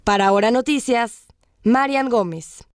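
High formal female news reporter. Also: a little slow, no room sound, clean studio sound. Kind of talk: scripted news voice-over.

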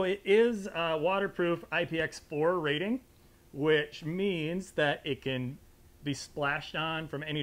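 A man's voice: fairly high pitch, fairly bright tone. An American man in his thirties presenting a casual, conversational product review to camera in an indoor studio. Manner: conversational, informal. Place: indoor studio with fabric backdrop.

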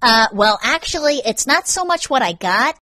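A woman's voice: medium pitch, normal speed, a bit bright, no room sound, voice pushed up, natural voice. A woman voicing a hot-tempered, sarcastic, foul-mouthed young man in a story narration. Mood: evasive, irritated.

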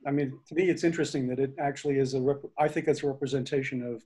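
An older man whose first language is Hungarian, chatting on a video call in a reflective, halting way with many fillers. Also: mid-range voice, steady tone, mellow. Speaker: discussion group member. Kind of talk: casual video call chat. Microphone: video call laptop mic.

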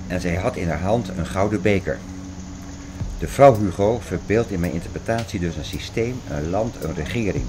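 A man's voice: low-pitched, background noise, lightly acted. An actor playing a fervent man expounding apocalyptic theories in a radio play, insistent, didactic and declamatory. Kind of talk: radio play dialogue, insistent lecturing and reciting.

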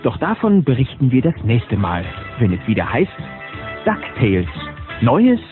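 A man narrating a children's cartoon audio drama as a lively storyteller. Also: mid-range voice, measured pace, music in the background. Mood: excited. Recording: dull cassette tape sound.

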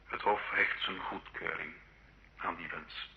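An actor playing a solemn church judge in an old radio drama, grave, formal and measured. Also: male voice, low-pitched, steady tone, gravelly, a little hiss or hum, heavily acted. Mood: grave, stern. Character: solemn church judge pronouncing absolution and death sentence. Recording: muffled old radio recording.

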